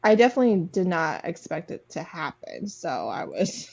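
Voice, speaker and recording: A woman's voice: fairly low pitch, big pitch swings, normal speed, creaky, a little mellow. A woman, talking casually and bluntly. The audio is dull and lo-fi, like a livestream. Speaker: book-chat livestream participant. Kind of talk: casual chat.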